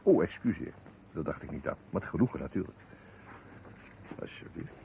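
A man playing a shrewd, courteous investigator in a Dutch radio drama, speaking formally and deliberately. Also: extremely low pitch, expressive, creaky, mellow, exaggerated voice. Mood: measured, insistent. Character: shrewd, courteous male investigator, probing and methodical. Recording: tinny, noisy old radio recording.

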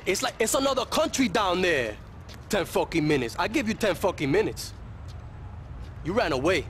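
Bitter young corner dealer, high voice, sarcastic street slang, video game scene w faint traffic noise. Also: male voice, very animated, normal speed, fairly bright tone. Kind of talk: video game cutscene acting.

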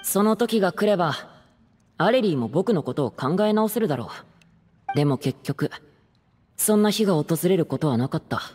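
An actor playing an earnest, heartbroken young stage playwright in a Japanese game, speaking in a measured, confessional way. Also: male voice, high-pitched, expressive, darkish tone, in a large hall. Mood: wistful.

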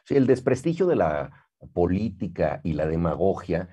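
Mexican man, middle-aged interviewer, very low pitch, mellow tone, measured and articulate. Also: very animated, husky, muffled recording. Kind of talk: cultured interview conversation. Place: living room with bookshelves, seated.

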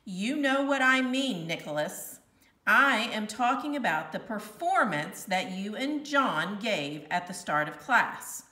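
A woman's voice: mid-range voice, animated, slow pace, pushed and bright. Woman doing a strict lady teacher in a kids story, firm n emphatic, roomy sound. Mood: stern. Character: stern, controlled female schoolteacher. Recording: roomy, slightly distant home recording.

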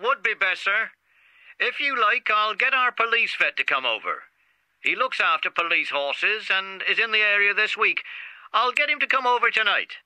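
An actor playing an obliging police sergeant heard through a telephone effect in a children's audio drama. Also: male voice, sits high, animated, normal speed, sharp tone, dry recording, heavily acted, poor audio. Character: obliging, deferential male police sergeant on the phone.

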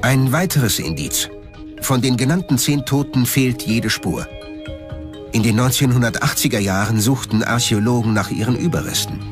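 A man's voice: medium pitch, normal speed, music in the background. A man reading scripted documentary narration as the narrator of a history programme.

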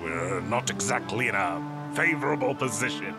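A man's voice: fairly low pitch, lively intonation, normal speed, over loud music. A man playing a cocky, flirtatious pirate captain with a swaggering, laughing manner. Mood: commanding. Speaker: audio drama voice actor. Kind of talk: audio roleplay performance.

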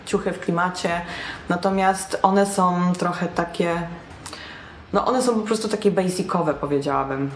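Young woman vlogger, lowish voice, chatty and wry, roomy echo. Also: slight background noise. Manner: chatty, self-mocking, with English slang. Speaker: alternative fashion vlogger. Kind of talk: casual talk to camera. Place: small bright room indoors.

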